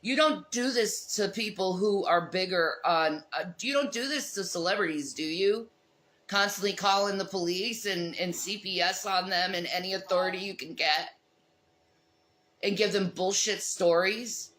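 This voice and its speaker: A woman's voice: medium pitch, moderate pace, a bit bright. A woman ranting defiantly in a long monologue, emphatic and repetitive, her voice a little strained. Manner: emphatic, repeats herself insistently.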